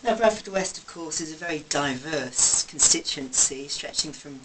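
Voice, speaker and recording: A woman's voice: low-pitched, animated, normal speed, a bit bright, far from the mic, rough recording. A woman radio interviewer putting questions to a guest, recorded a little away from the microphone. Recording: off-mic, faint background noise.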